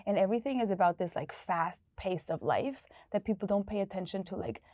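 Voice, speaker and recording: A woman from Austria talks about her company, stopping and restarting as she hunts for the right words. Her voice sits at a middle pitch and is recorded close, with a dry office sound. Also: lively intonation, darkish tone, dry recording. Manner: searching, halting, many "like" fillers. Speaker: startup founder. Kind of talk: casual interview.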